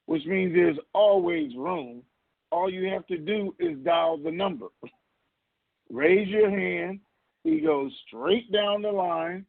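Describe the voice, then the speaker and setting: A man's voice: sits high, vocal fry, no echo. A man co-hosting a casual call-in, explaining answers in a blunt, conversational way with emphatic repetition. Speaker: co-host answering listener questions. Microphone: telephone. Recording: narrow, lo-fi phone line.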